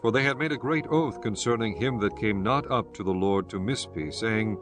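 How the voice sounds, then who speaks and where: A man narrating scripture for an audiobook in a formal, measured storytelling manner. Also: lowish voice, music in the background.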